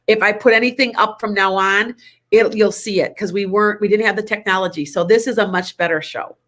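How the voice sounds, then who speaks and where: A woman's voice: medium pitch, brisk, a bit bright. A woman in her fifties or older, hosting a psychic talk show, chatty and rambling, with a stuffy nose. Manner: chatty, rambling, laughs often. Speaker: psychic radio show host. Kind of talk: casual spiritual talk.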